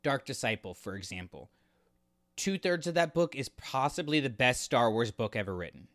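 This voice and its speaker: A man co-hosting a podcast, talking casually about books in a halting, thinking-aloud way. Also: medium pitch, animated, fairly bright tone, dry recording, clean studio sound. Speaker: podcast co-host reviewing books. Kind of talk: casual discussion and commentary.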